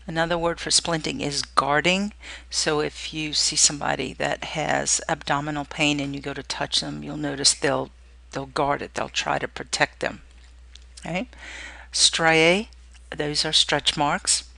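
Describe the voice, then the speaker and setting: A woman's voice: deep voice, steady tone, unhurried, gravelly, sharp tone, no room sound. A woman giving an instructional clinical lecture, explaining step by step and often checking in with "okay". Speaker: nursing or clinical skills instructor.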